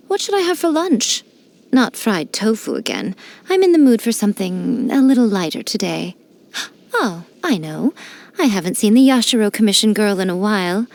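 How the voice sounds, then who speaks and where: An actress voicing a sly, elegant fox-spirit shrine priestess in a game, teasing and playfully condescending. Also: female voice, medium pitch, a little mellow. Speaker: game character. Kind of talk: studio game voice lines.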